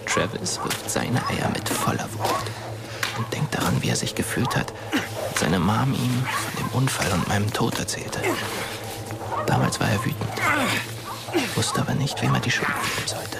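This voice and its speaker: An actor narrating a dark radio drama in a hushed, often half-whispered voice. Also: male voice, mid-range voice, animated, normal speed, creaky. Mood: hushed, tense. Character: sombre male narrator of a dark Halloween tale, dead teenager. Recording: loud music behind. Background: loud music.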